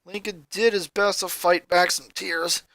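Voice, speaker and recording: A woman's voice: on the low side, fairly even intonation, a little slow, a bit bright, no echo. A woman reading scripted fan fiction as a story narrator.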